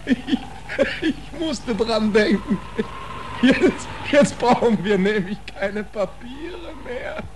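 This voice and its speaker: An actor playing a desperate shipwrecked sailor, halting and pleading, in an old radio drama. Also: male voice, very high voice, expressive, full character voice. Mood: exhausted. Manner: halting, repeating words, pleading. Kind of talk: 1940s German radio drama acting. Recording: noisy old radio play recording.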